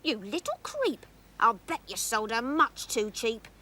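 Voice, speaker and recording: Woman as sharp, scolding fairy-tale mother. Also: fairly high pitch, very animated, measured pace, a little hiss or hum, full character voice. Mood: furious. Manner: scolding, exclamatory. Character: Jack's sharp-tongued, scolding, feisty older mother. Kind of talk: rhyming fairy-tale storytelling.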